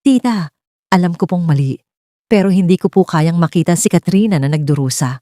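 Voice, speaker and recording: A woman's voice: fairly low pitch, fairly quick, mellow, no room sound, clean studio sound, close to an everyday voice. A woman voicing a respectful male suitor who defends his love in a serious, polite and direct manner. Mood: earnest, determined.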